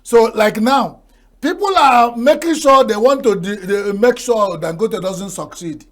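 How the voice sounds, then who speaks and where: A man's voice: sits high, measured pace. An older Nigerian man whose first language is Igbo, giving folksy TV talk show commentary full of proverbs and chuckles. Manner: folksy, proverb-laden, laughs at his own jokes.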